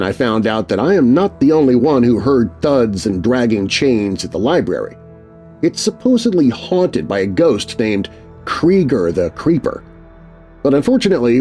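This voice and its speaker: A man reading a children's scary story aloud, voicing letters in a scripted, expressive narration. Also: medium pitch, normal speed, faint music behind.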